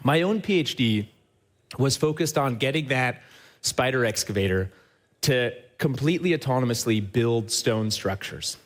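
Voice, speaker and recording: A man who heads a company, giving a lively talk on a trade show stage and speaking straight to the audience, often tagging his points with a quick question. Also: medium pitch. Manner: upbeat and enthusiastic, often checks in with a tag question; addresses the audience directly. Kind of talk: live conference presentation.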